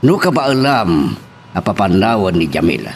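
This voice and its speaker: An actor plays a stern man in a radio-style romance drama. His low voice moves with lively emphasis as he confronts others, over faint background noise. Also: moderate pace, gravelly, heavily acted.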